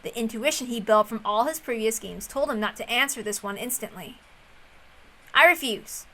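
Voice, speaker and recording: A woman reading a visual novel aloud as narrator, expressive and informal in style. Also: fairly high pitch, brisk.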